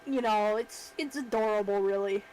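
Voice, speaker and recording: A woman's voice: medium pitch, normal speed, darkish tone, slight background noise, rough recording. A woman streamer chatting casually while she works, rambling and self-deprecating. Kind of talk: casual livestream chat while working.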